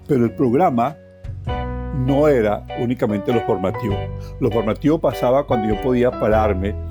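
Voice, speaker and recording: A middle-aged man with a Colombian accent tells his life story reflectively, repeating words for emphasis and ending phrases with little tag questions. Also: fairly low pitch. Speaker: journalist telling his life story as podcast guest. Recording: soft plucked guitar music behind.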